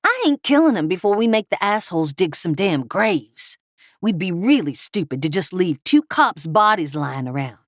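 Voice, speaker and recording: A woman voicing a crude, whiny male meth cook who rattles off fast, argumentative complaints. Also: a little high, lively intonation, dry recording, clean studio sound, lightly acted. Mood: snapping.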